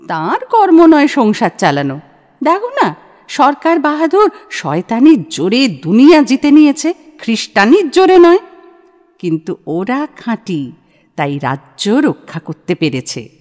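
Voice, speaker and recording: A woman's voice: on the high side, darkish tone. A woman playing a shrewd, self-assured young woman in an audio play, speaking in a forthright, persuasive, dramatic way. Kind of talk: audio play acting of a literary short story. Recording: long hall-like reverb.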